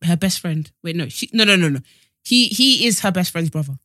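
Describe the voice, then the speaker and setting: A woman in her twenties co-hosts a casual podcast chat. She tells stories in an animated, exasperated way, with a slightly low voice. The recording is dry and close. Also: fairly quick, no room sound. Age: 20s. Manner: animated storyteller, exasperated asides. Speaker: podcast co-host.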